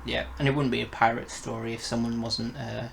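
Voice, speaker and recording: A man's voice: mid-range voice, flat delivery, a little fast, creaky, slight background noise. A man chatting casually and enthusiastically as he reviews an audio drama on a podcast.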